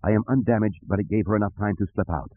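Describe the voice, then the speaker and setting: A man's voice: fairly low pitch, very fast, phone-quality sound, lightly acted. A man voicing a clipped, cool android henchwoman. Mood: cool, matter-of-fact.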